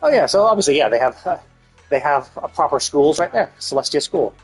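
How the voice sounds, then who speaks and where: Casting: male streamer, husky lighter-pitched voice, rambling casual commentary, thinks out loud. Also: big pitch swings, a little fast, faint music behind, rough recording. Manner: rambling, repeats and rephrases, musing aloud. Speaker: live game streamer.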